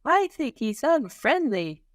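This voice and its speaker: A man's voice: very high-pitched, sing-song, slow pace, fairly bright tone, no echo, clean studio sound, voice pushed up, full character voice. A man voicing earnest, plainspoken schoolchildren from a picture book in an exaggerated, simple childlike way. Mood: blunt.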